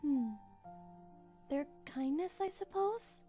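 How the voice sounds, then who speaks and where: Casting: actress for gentle cartoon pony queen, very high, soft, earnest, music underneath. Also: slow pace. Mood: wistful, gentle. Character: gentle, kind, humble young pony queen. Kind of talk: animated fan drama dialogue.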